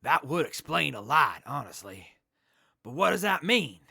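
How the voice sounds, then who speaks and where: A man's voice: fairly high pitch, big pitch swings, normal speed, vocal fry, pushed and bright, breathy edge, dry recording, clean studio sound, heavily acted. A man playing an upbeat, slangy survivor leader in a post-apocalyptic audio drama, talking reassuringly. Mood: worried. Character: Badger Boy, upbeat hopeful male wasteland survivor leader.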